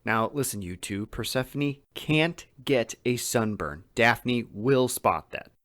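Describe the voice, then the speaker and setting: An actor playing a cautious, soft-hearted male minder in an audio drama. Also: medium pitch, lively intonation, takes it slowly, a little hiss or hum. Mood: reluctantly agreeing. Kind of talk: naturalistic audio drama acting.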